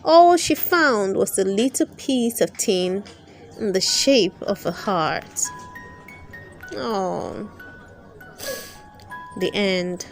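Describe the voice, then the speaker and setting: A woman reading a children's storybook aloud in an expressive storytelling manner, with dramatic emphasis. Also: mid-range voice, extremely slow, pauses often, soft background music. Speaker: storybook reader and channel host.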